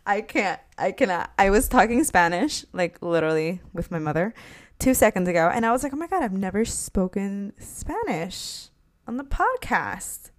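A woman's voice: mid-range voice, big pitch swings, moderate pace. A young woman hosting her own podcast in a casual, rambling solo chat, swearing casually and sometimes slipping into Spanish. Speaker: podcast host.

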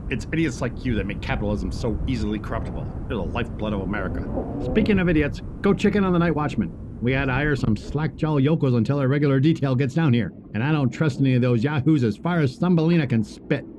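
An actor in an audio drama playing a callous, contemptuous corporate boss who rants in a pompous, wordy way. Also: male voice, mid-range voice, expressive, quick pace, noisy background, lightly acted. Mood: scornful, irritated. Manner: pompous, verbose, scornful rants.

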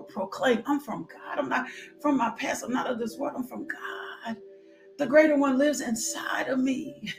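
Fervent woman preacher whooping. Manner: exclamatory, with sudden high whoops; repeats declarations, drops to hushed prayer. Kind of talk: fervent sermon with altar call and blessing.

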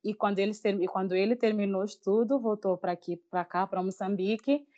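A woman's voice: medium pitch, brisk, mellow. A woman of twenty-six from Mozambique with a Mozambican accent, telling personal stories in a casual, chatty way as a podcast guest. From Mozambique, raised in Maputo. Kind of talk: casual personal storytelling in an interview. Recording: slightly dull lo-fi audio.

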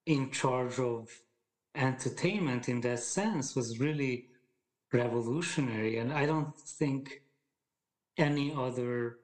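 A man's voice: medium pitch, a little mellow. A man in his forties from Hungary, talking thoughtfully as a podcast guest, hesitating and restarting often. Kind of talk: casual conversation.

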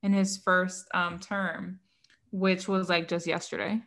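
Chatty laughing woman, lowish voice. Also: flat delivery, slow pace, a bit bright. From Puerto Rico. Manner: chatty, laughs often, says "like" a lot. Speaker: podcast co-host. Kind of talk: casual chat.

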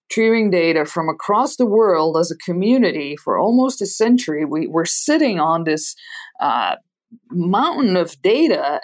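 A woman's voice: lowish voice, darkish tone. A middle-aged woman from Belgium, a native Dutch speaker with a Belgian Dutch accent, answering interview questions thoughtfully with frequent restarts. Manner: thoughtful, many restarts and fillers. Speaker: scientist guest.

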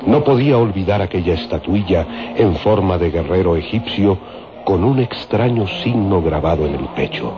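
A man's voice: low voice, lively intonation, moderate pace. A man delivering solemn, dramatic scripted narration for a radio serial. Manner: solemn, descriptive storytelling. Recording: dull radio recording with music.

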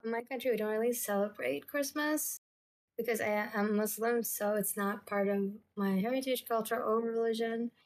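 A woman's voice: fairly high pitch, steady tone, normal speed. A woman from Bahrain chatting as a podcast guest in a matter-of-fact way. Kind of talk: casual chat.

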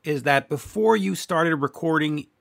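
A man's voice: on the high side, measured pace, vocal fry. A man interviewing a musician, asking eager questions that he restarts often.

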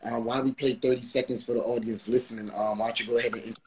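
A man from Brooklyn hosting a live music interview show, energetic and hyping up his audience. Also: medium pitch, racing. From Brooklyn, New York. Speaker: livestream interview host.

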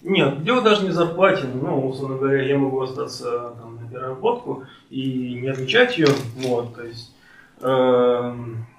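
Echoey, off-mic young man talking haltingly. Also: mid-range voice, steady tone, far from the mic. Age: late 20s, turning thirty. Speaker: podcast participant. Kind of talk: casual conversation about personal life. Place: friend's home, indoors. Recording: echoey room, off-mic, faint noise.

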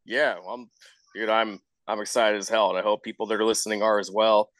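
A man's voice: on the low side, little pitch movement, a little fast, a bit bright, no room sound. A man hosting a casual interview, informal and encouraging as he talks. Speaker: show host, interviewer.

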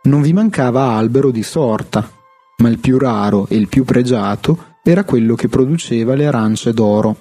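A man reading a fairy tale aloud in a steady storytelling manner. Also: medium pitch, moderate pace, faint music behind.

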